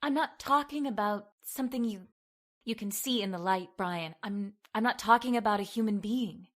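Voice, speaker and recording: A woman's voice: mid-range voice, moderate pace, natural voice. A woman playing an anxious, frightened young woman in old-time radio horror, hesitant and dramatic. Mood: troubled.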